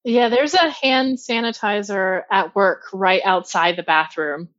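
A woman's voice: medium pitch, a bit bright, no echo. A woman from Ohio with a Midwestern American accent, chatting on a podcast in a bubbly, questioning way. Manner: bubbly, questioning, quick laughs. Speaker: podcast co-host. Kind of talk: casual podcast chat. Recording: slightly dull lo-fi audio.

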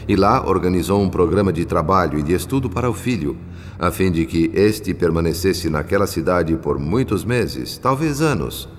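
A male actor narrating a radio drama with a Brazilian accent in a measured, solemn, literary manner. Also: deep voice, lively intonation, in a large hall. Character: solemn male narrator telling a moral family story. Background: soft music.

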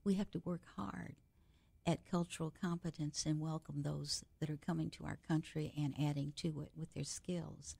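Woman host, low mellow voice, chatty and reflective interviewer, dry close-miked sound. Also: steady tone, moderate pace, gravelly, slightly rough, dry recording. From United States. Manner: chatty, reflective, adds personal anecdotes. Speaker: radio show host. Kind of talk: conversational interview.

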